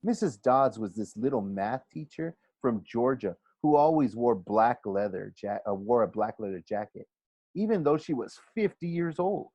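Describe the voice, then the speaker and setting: A man's voice: mid-range voice, lively intonation, moderate pace, warm tone, no room sound. A man reading a storybook aloud expressively like a teacher, now and then stumbling and correcting himself.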